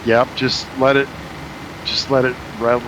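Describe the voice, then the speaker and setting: An older man with a very deep voice, chatting casually and joking about himself while flying in a sim. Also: level tone, measured pace, lo-fi sound, noisy background. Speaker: flight sim pilot. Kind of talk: casual chat on voice channel.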